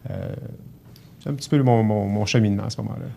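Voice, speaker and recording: A middle-aged man from Abitibi with a Québécois accent, answering questions as a store manager in an earnest, halting way with many fillers. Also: on the low side, vocal fry, dark tone. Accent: Québécois French. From Abitibi, Quebec. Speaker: grocery store general manager, guest. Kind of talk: casual TV interview.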